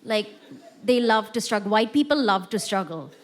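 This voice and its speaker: A woman's voice: mid-range voice, lively intonation, moderate pace. A woman performing a stand-up comedy set on a theatre stage, telling self-mocking jokes in a chatty, conversational way. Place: theatre stage before a live audience. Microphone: stage mic.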